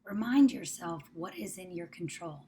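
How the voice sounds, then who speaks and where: A woman leading a guided meditation, speaking soothingly and in measured phrases that trail off into a near-whisper. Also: lowish voice, lively intonation, mellow. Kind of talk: guided meditation, spoken to the listener. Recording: clear background ambient noise.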